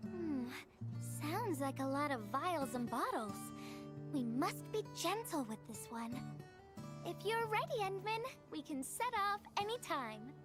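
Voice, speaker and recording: A woman voicing a kind, gentle young courier in a video game, soft-hearted and encouraging. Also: sits high. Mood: careful. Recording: game dialogue over music.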